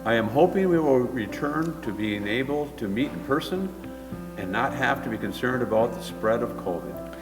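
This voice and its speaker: A man with a mid-pitched voice reads testimonials aloud. He sounds a little far from the mic in a reverberant space, under loud guitar music. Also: expressive, normal speed. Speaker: church team member reading quotes. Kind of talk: reading written testimonials aloud. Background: loud plucked guitar music.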